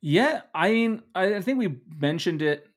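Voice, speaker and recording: A man from the Netherlands, co-hosting a casual sports podcast, easygoing and quick to laugh. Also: sits high, lively intonation. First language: Dutch. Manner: easygoing, laughs often, repeats words. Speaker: co-host.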